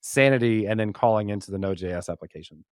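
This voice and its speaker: A man's voice: lowish voice, fast, clear, resonant tone, no echo. Casual man demoing tech, dry close sound. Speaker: podcast host and developer giving a demo as guest. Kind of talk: casual live technical demo walkthrough.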